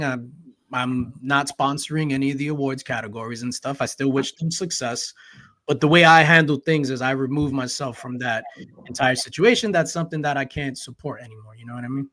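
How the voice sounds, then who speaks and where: A man's voice: medium pitch, a bit bright. A man hosting a livestream, earnestly explaining his side at length with fillers. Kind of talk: casual chat.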